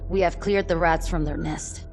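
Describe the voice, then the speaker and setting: A woman's voice: on the low side, steady tone, moderate pace, darkish tone, natural voice. Actress as eager soldier lady in a video game, martial, formal reporting, music behind. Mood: proud. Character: eager female clan officer, martial and zealous. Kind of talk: video game cutscene acting.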